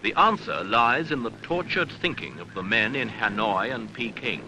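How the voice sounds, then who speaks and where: A man reading a scripted documentary newsreel narration in a measured, grave, rhetorical manner, on an archival seventies soundtrack. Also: on the low side, expressive, noisy background.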